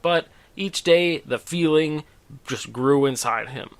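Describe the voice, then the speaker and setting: A man's voice: on the high side, takes it slowly. A man hosting and reading his own humorous story, with wry, conversational asides. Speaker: storyteller and host.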